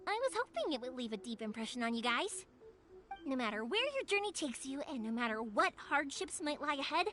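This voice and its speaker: A woman playing a cheerful, warm-hearted young firework maker in a video game, chatty and sincere, with a girlish voice. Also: high-pitched. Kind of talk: video game character acting. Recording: music behind the voice.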